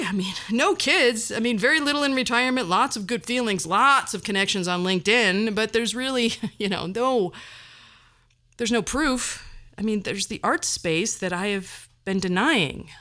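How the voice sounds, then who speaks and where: A woman's voice: mid-range voice, a bit bright. A middle-aged woman hosting a podcast, delivering a personal monologue in a wry, self-deprecating and theatrically emphatic way. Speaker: podcast host.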